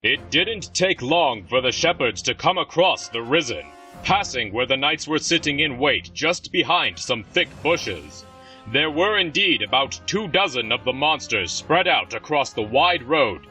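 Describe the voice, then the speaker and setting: A man's voice: mid-range voice, sharp tone, rough recording. A man narrating a scripted fantasy story as the narrator of an audio drama.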